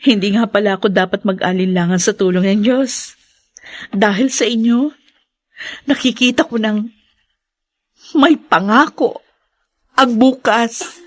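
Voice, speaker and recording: An actress plays a young Filipino woman in a radio drama, orphaned and wounded yet holding on to hope. Her voice sits at a middle pitch and carries the feeling openly. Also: a little slow, long pauses, natural voice. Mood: warm, grateful. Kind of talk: Tagalog radio drama acting.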